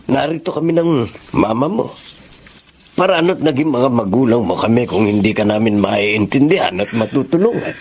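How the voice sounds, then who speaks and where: Gravelly, concerned father played by a man. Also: mid-range voice, animated, normal speed, darkish tone, a little hiss or hum, close to an everyday voice. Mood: caring. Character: concerned, gently admonishing middle-aged father. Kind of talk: Filipino radio drama acting.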